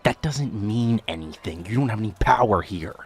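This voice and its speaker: A man portrays a curt officer in an audio drama. His voice is deep, clipped and officious, edged with irritation. Outdoor noise is audible behind him. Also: animated, moderate pace. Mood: officious, annoyed. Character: stern, curt male officer guarding a scene.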